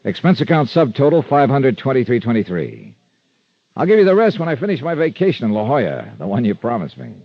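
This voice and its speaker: An American actor playing a wry, hard-boiled insurance investigator in a radio drama, with clipped, tough-talking delivery. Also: male voice, medium pitch, huge pitch swings, fairly quick, gravelly, dry recording, exaggerated voice. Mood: dry, wry. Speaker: star of a radio detective series. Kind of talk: 1950s radio drama acting and first-person narration. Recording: muffled vintage radio recording with music.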